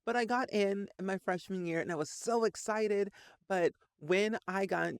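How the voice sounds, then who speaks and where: A man in his late twenties tells a long personal story, his somewhat high voice catching on a gravelly edge. He rambles and leans on "like" constantly. The recording is dry and close. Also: normal speed, dry recording. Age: 20s. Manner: rambling, frequent "like" fillers. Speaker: Sims content creator.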